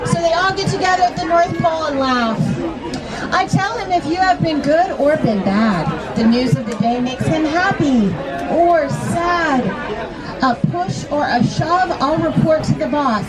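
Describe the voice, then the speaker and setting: High-voiced woman reading aloud, crowd all around. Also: a little slow. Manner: expressive, talks to children with questions. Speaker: children's book author reading aloud. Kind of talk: animated live storybook reading to children. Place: live reading inside a large toy shop. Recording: busy echoey store, crowd and loud music.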